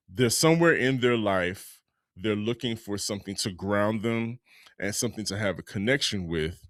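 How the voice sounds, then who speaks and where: Low-voiced man co-hosting a podcast. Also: no room sound, clean studio sound. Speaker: podcast co-host. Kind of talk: casual podcast talk.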